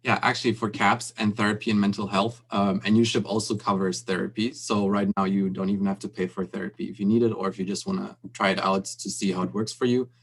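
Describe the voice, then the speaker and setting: Flat, low-voiced young man. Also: fast, few pauses, slightly rough. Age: young adult. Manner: chatty, informal, frequent "um" and "like". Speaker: student panelist. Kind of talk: panel Q&A answer.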